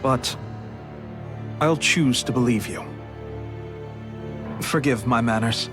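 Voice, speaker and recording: An actor playing a wary rebel leader in a game, speaking in a dry, measured way with soft music underneath. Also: male voice, mid-range voice, natural voice. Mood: earnest. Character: wary male rebel leader, middle-aged. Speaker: resistance leader. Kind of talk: video game voice acting.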